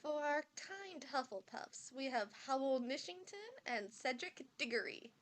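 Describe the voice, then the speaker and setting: A woman's voice: high voice, expressive, measured pace, fairly bright tone. A woman playing a stern but kindly older witch professor, formally addressing pupils in an amateur audio drama. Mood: ceremonial.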